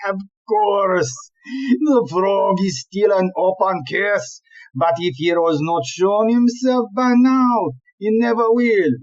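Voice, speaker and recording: An actor playing an exasperated, blustering chief police inspector in a comic audio play, with an emphatic, deliberate, ranting delivery. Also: male voice, high voice, lo-fi sound. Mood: indignant explaining.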